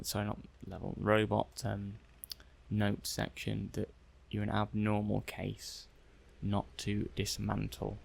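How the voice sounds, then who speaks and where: A man playing a curious, methodical robot-testing technician in a soft-spoken ASMR roleplay, musing hesitantly as he thinks aloud. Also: on the low side, vocal fry, exaggerated voice. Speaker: roleplay performer.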